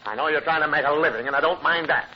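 An American actor playing a grumpy, excitable family man in an old-time radio comedy, with blustery, exasperated delivery. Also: on the high side, very fast, natural voice. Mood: indignant. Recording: muffled, noisy old radio transcription.

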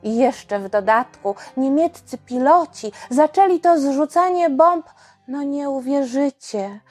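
A woman's voice: high voice, animated, a little slow, a little mellow, faint music behind. A woman narrating history for children as a podcast host, explaining things and addressing listeners directly.

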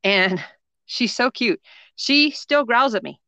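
A woman's voice: fairly high pitch, expressive, slow pace, bright, forceful tone. A middle-aged woman co-hosting a casual chat podcast, talking unhurriedly and laughing often. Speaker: podcast co-host. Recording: dull lo-fi dry recording.

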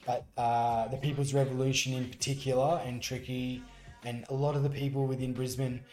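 A man's voice: mid-range voice, flat delivery, a little fast, darkish tone, faint music behind. Earnest Australian man in an echoey studio. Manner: earnest storytelling with many restarts. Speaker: musician guest. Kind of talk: casual radio interview.

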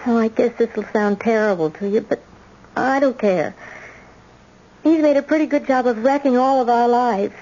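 An actress playing a shy but determined eighteen-year-old granddaughter in an old-time radio drama. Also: female voice, on the high side, normal speed, warm tone, slight background noise, lightly acted. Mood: resigned, resolute. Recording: muffled old radio recording.

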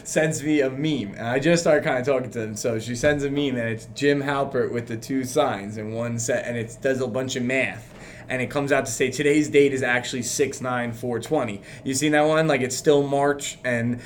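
A man's voice: mid-range voice, steady tone, normal speed. A young man co-hosting a casual podcast, crude and rambling as he tells stories, often laughing. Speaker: podcast co-host. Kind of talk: casual chat. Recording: faint background noise.

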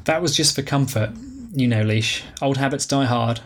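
A man voicing a brisk hospitable businesswoman. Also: mid-range voice, natural voice. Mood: insistent.

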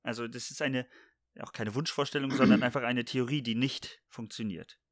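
A man in relaxed conversation, playful and jokey, who often trails off and starts his sentences over. His voice sits in an ordinary middle range. It sounds dry and close, with no room around it. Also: mid-range voice, normal speed, no echo. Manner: playful, jokey, rambling with many restarts. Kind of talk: casual chat.

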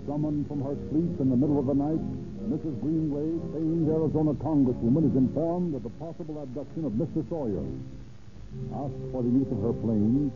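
A man's voice: a little high, a little fast. A man giving grandiose, dramatic scripted narration in the style of a vintage radio crime program. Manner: grandiose, florid delivery. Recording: tinny old radio recording. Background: music.

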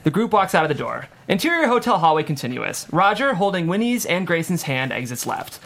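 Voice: medium pitch, very animated, brisk, a bit bright. A narrator reading scene headings and stage directions at a script table read.

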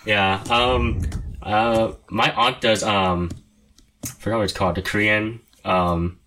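A man's voice: fairly low pitch, steady tone, slow pace, sharp tone. A young man chatting casually with friends, rambling with lots of fillers and frequent laughs. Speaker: friend in a group chat. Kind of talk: casual chat. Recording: noisy recording.

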